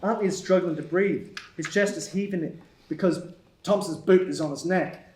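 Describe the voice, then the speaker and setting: A man's voice: high voice, moderate pace, darkish tone. A man reading out screenplay stage directions as the narrator at a table read. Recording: echoey room.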